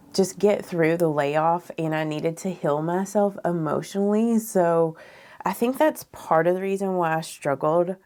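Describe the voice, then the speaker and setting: A woman in her thirties with a froggy, raspy voice, chatting candidly and ramblingly as a beauty vlogger doing a makeup tutorial indoors. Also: fairly low pitch, a little mellow. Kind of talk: casual chat during makeup tutorial. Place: small furnished room indoors.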